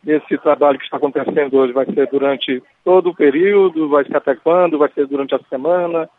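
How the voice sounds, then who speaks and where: A man's voice: a little high, a little fast, slight background noise. A man with a Brazilian Portuguese accent reporting live from the scene and interviewing a nurse. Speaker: radio field reporter. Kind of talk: live radio field report. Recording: tinny phone-line sound.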